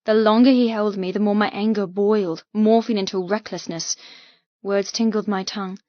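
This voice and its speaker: A woman's voice: mid-range voice, fairly even intonation, normal speed, dry recording, highs cut off. A woman narrating an audiobook novel in the first person, with an intense, emotive, dramatic delivery.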